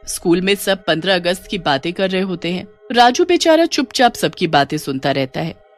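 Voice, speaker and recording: A woman narrating a scripted children's ghost story as a storyteller. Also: mid-range voice. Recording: music behind the voice.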